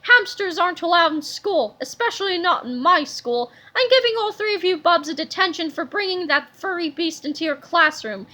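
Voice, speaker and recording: A woman voicing a spoiled, arrogant boy genius in a storybook reading. He snaps orders in a high, bright voice and turns whiny when he is cornered. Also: animated, moderate pace, hardly any pauses, full character voice. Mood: bossy. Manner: bossy, then whining.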